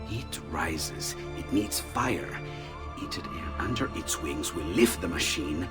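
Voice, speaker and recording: An actor voicing an excitable male inventor in a video game, musing one moment and overjoyed the next. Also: low-pitched, slow, over music. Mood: explaining. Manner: eager, swings from musing to shouting joy. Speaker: game character.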